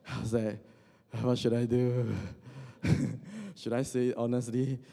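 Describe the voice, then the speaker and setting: A young man from Indonesia delivers a sermon in a relaxed, chatty way, breaking in with little jokes and stumbling restarts. His voice is mellow and sits at a middle pitch. The sound rings out with the long reverb of a large hall. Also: slow, gravelly. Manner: joking asides, frequent fillers and restarts. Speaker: preacher. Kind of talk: casual conversational sermon.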